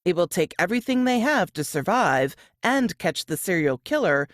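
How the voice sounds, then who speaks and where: A woman narrates from a script in a dry recording. Her voice is slightly low and moves expressively up and down, measured and dramatic like a book trailer. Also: sing-song, no echo.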